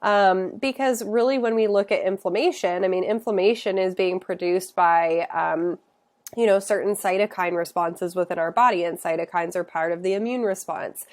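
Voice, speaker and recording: A woman's voice: medium pitch, moderate pace, darkish tone. A woman who works as a functional nutritionist, congested from a cold, explaining health topics in a casual, explanatory way with many filler sounds. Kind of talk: educational talk to camera.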